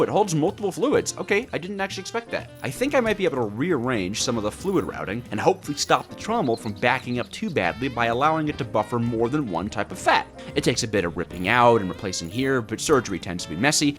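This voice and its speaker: A male gaming narrator with a very lively, swooping delivery. He reads scripted story narration in a theatrical, mock-grandiose manner, with light music behind him. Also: medium pitch.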